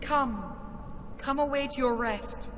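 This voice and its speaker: An actress playing a falsely soothing undead spirit that coaxes and beckons in a video game. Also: female voice, high voice, slow pace. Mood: eerily coaxing. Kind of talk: dramatic video game acting. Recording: long reverb, clear background noise.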